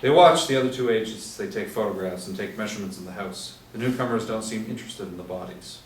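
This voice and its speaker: Low-voiced man narrating, echoey room. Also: quick pace. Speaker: narrator of stage directions. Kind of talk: scripted screenplay narration. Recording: echoey room, distant mic, faint noise.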